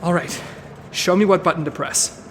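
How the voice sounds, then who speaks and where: Team leader male actor, audio drama: high-pitched, earnest, commanding and formal, light background noise. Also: normal speed, close to an everyday voice. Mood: resolute.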